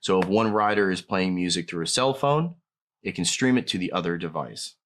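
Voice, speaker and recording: A man's voice: low voice, a little fast, a bit bright. A man narrating a scripted product tutorial, explaining each step plainly as a demonstrator.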